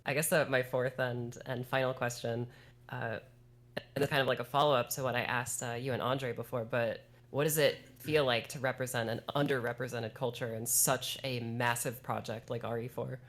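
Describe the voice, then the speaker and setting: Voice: mid-range voice, fairly even intonation, normal speed, a bit bright. A stream host asking questions in a casual, friendly Q&A. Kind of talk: casual Q&A hosting.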